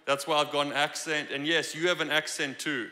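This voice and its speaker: A young man with a South African accent delivers a lively, joking sermon from a church stage. His voice sits high and bright. The handheld mic picks him up with a long hall reverb behind. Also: brisk. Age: young adult. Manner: joking, animated, gestures freely while preaching. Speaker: guest preacher. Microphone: handheld microphone.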